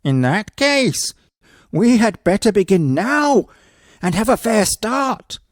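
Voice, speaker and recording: A man's voice: sits high, very animated, takes it slowly, dry recording, studio quality, pitched above the performer's own voice, full character voice. A man voicing a greedy, henpecked woodcutter husband who protests and frets in a children's storybook. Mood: worried, eager.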